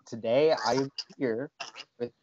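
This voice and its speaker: A man's voice: medium pitch, fairly even intonation, unhurried, dull audio, a crowd in the background. A young man hosting an interview, asking brief, plainly worded questions over a video call from a room at home. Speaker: show host. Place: small room at home, indoors. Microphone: video call webcam with earphones.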